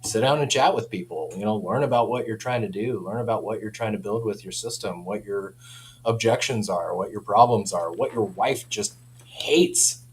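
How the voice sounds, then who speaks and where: Chatty low-voiced man over humming background noise. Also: normal speed, poor audio. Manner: chatty, informal, enthusiastic. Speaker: audio company representative, guest. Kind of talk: casual product chat.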